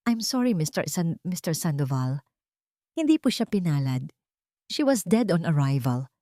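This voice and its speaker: A woman voicing a sympathetic, formal doctor in an audio drama, speaking politely and gravely. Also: low-pitched, sing-song, moderate pace, dark tone, dry recording, studio quality. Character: sympathetic, formal male doctor breaking bad news.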